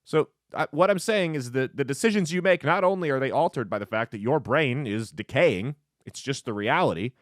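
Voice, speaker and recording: A man's voice: medium pitch, expressive, fairly quick, no echo, studio quality. A man in his twenties hosting his own opinionated political commentary in a sarcastic, mocking way. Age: 20s.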